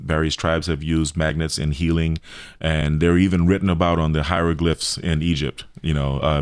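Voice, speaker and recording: A man explaining things in a radio interview, with halting phrasing and repeated words. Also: very deep voice, smooth, no room sound, clean studio sound. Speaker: radio show host and health practitioner.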